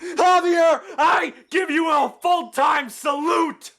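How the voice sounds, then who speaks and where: A young man hosting a casual hobby show-and-tell, with an excitable manner and a crude, joking streak. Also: very high-pitched, takes it slowly, vocal fry, shouting. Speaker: video host.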